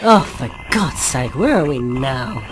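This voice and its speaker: A woman's voice: rumbling low, lively intonation, a little slow, very noisy. A woman playing a dry, sarcastic traveller. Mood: wry. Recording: voice with loud music under it.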